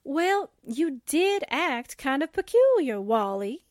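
A woman acts out a playful, teasing sidekick reporter from 1940s radio drama. Her voice is very high and she takes her words slowly. The recording is dry. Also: big pitch swings, no room sound, heavily acted.

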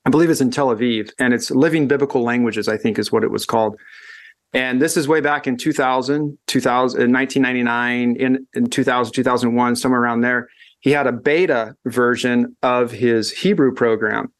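A man's voice: mid-range voice, fairly even intonation. A man as guest in a casual conversation, thinking aloud and often restarting his sentences. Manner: thoughtful, halting with frequent restarts. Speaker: guest educator.

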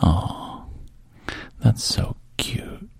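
A man voicing a soft-spoken, coaxing adult man in a breathy whisper. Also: extremely slow, no room sound. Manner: hushed, coaxing, soothing.

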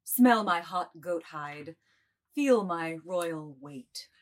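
A woman's voice: on the low side, animated, extremely slow. A woman playing a massive, imperious middle-aged brothel madam in a dramatised literary reading. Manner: imperious, commanding.

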